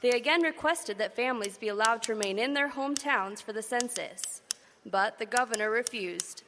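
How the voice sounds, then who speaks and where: An actress playing a brisk woman radio news reporter in an old-time radio drama, reading the news in a crisp newsreader manner. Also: a little high, long reverb tail, noisy background, close to an everyday voice. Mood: businesslike. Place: stage before a live audience.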